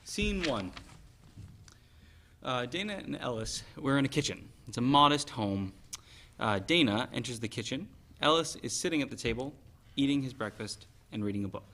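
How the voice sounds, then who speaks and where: A man's voice: mid-range voice, expressive, normal speed, sharp tone. A man reading scene directions as scripted narration at a play reading. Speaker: stage directions reader.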